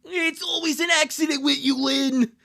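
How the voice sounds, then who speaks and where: The streamer voicing an indignant, protective young cartoon sister, loud and scolding, in a live comic dub. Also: high voice, fairly even intonation, normal speed, vocal fry, voice pushed up, full character voice.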